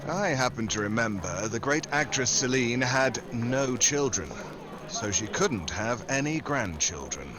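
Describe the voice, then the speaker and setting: An actor playing a wry, tough American secret agent in an old-time style radio drama. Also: male voice, mid-range voice, animated, unhurried, crowd noise, lightly acted, poor audio. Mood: wary, businesslike. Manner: wry, sardonic.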